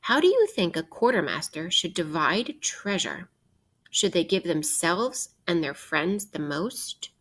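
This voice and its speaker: Unhurried, low-pitched woman reading to children. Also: big pitch swings, a bit bright. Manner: deliberate, instructive, posing questions to young listeners. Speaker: teacher reading aloud to children. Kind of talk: interactive picture-book read-aloud.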